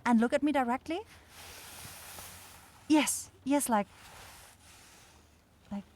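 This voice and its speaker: A woman's voice: sits high, slow, a little mellow. A woman voicing a caring young forest witch in a fantasy ASMR roleplay, nervous, rambling and apologetic. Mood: relieved, caring.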